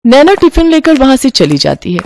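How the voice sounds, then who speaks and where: A woman's voice: high-pitched, big pitch swings, a little fast, darkish tone, slight background noise. A woman narrating a scripted family story as a storyteller.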